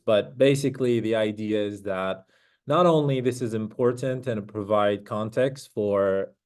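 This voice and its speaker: This is a man presenting his research in an online seminar. His voice sits low and has a warm, relaxed tone. He talks in an earnest, conversational way and often pauses to find his words. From Iraq. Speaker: guest speaker. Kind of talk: academic seminar lecture. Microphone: video call.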